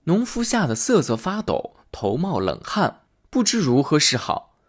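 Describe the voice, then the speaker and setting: A man hosting and reading a fairy tale aloud in an expressive storytelling manner. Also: on the high side, unhurried. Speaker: storyteller host.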